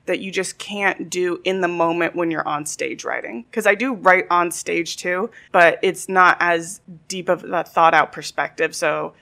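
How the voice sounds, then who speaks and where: A young woman, a stand-up comedian, talking in a soft, reflective way as a podcast guest. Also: lowish voice, fairly even intonation, measured pace, vocal fry, few pauses. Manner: soft-spoken, reflective, often laughing. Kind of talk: casual interview chat.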